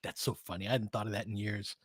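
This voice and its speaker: A man's voice: lowish voice, flat delivery, quick pace, breathy edge, dry recording. American man, dry-sounding casual basketball commentary. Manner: partisan fan, colloquial, clipped present-tense phrases. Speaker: play-by-play host and fan commentator.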